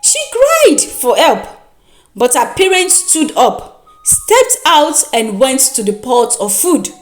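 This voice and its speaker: A woman with a Nigerian accent narrating a folktale in a dramatic, expressive and deliberate storytelling manner. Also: high-pitched, soft background music. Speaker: storyteller and host.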